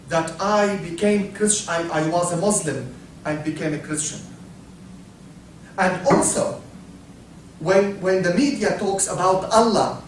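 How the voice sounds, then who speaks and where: A man from Syria, giving a talk, halting and repeating words, asking the audience questions. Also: high-pitched. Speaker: speaker. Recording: echoey hall, from far off, faint noise.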